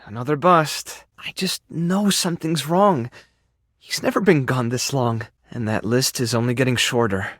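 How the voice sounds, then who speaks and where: An actor playing a caring, anxious animal rescuer in a video game, with a friendly, earnest and encouraging manner. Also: male voice, mid-range voice, normal speed, no echo, clean studio sound, lightly acted. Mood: anxious, distressed. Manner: expressive, soft-hearted, encouraging, coaxing small creatures like pets. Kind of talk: video game character dialogue lines. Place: studio.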